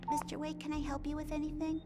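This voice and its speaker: A woman plays a helpful small-town game character. Her voice is very high, polite and chatty, with music clearly behind it. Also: monotone, quick pace, natural voice. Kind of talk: video game voice acting.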